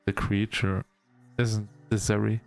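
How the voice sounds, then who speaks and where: A man hosting a gaming video, reading story text aloud in a halting, measured storytelling delivery. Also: lowish voice, level tone, creaky, soft background music. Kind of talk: let's-play narration, reading game text aloud.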